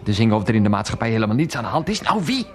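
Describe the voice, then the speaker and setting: An evasive, resentful husband in a radio drama. His speech swings animatedly as he grumbles and hushes with sarcasm. Music plays behind him. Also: on the low side, sing-song, rapid-fire. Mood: grumbling, resentful. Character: irritable, evasive philandering husband, sacked and resentful. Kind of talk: Dutch radio play dialogue.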